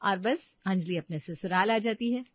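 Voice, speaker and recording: A woman's voice: medium pitch, normal speed, no echo, highs cut off. A woman narrating a scripted family story as a storyteller.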